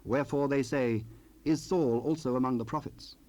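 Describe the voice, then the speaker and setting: A man's voice: mid-range voice, moderate pace, mellow. Male narrator, mid-range voice, solemn scripted Bible storytelling.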